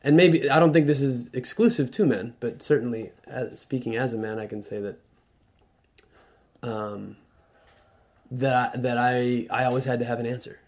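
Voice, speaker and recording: Man, radio studio guest, earnest, halting, restarts often. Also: medium pitch. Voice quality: loud voice. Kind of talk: reflective conversational talk.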